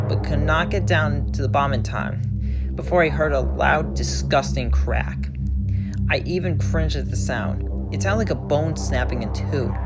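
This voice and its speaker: A woman hosting a horror story series, narrating and commenting in an informal, somewhat halting way. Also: sits low. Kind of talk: creepypasta narration and casual commentary.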